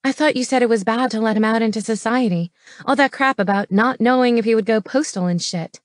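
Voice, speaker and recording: A woman's voice: a little high, brisk, darkish tone, no room sound, studio quality, natural voice. A woman voicing a feisty, impatient woman in an audiobook, with a sarcastic, snappy way of talking. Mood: indignant. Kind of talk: audiobook character dialogue.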